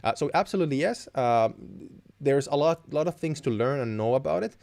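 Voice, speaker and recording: A man's voice: mid-range voice, expressive, a little fast, vocal fry, darkish tone. A young man, a native Finnish speaker with a Finnish accent, hosting an informal Q&A and explaining things with frequent self-corrections. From Finland. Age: young adult. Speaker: live stream host. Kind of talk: informal Q&A advice.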